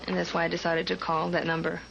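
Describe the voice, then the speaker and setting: A woman's voice: fairly low pitch, completely flat delivery, quick pace, creaky, dull audio. An American woman, a dispatcher, recounting a call in a documentary interview. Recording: noisy interview audio.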